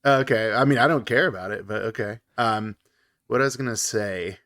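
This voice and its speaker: A man's voice: on the low side, moderate pace, fairly bright tone, dry recording, studio quality. A man co-hosting a podcast, congested from a cold, joking and laughing easily in casual chat. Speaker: podcast co-host.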